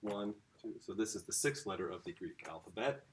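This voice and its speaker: A middle-aged man teaching a casual video lesson, relaxed and chatty, correcting himself as he writes on a board. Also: lowish voice, brisk, slight background noise.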